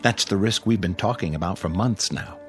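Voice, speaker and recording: An actor in a radio drama playing an anxious test pilot desperate to escape, tense and questioning. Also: male voice, sits low, fairly quick, soft background music, natural voice. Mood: anxious, grave.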